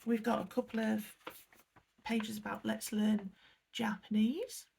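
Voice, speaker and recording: An English woman chats her way through an unboxing, reading the packaging text aloud and apologising for her pronunciation. Her voice is heavily gravelly and full of fry, pitched in an ordinary middle range. She sounds easygoing and self-deprecating. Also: flat delivery, unhurried, a little mellow, a little hiss or hum. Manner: chatty, apologetic, reads product blurbs aloud. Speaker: unboxing vlogger. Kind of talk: casual chat while unboxing.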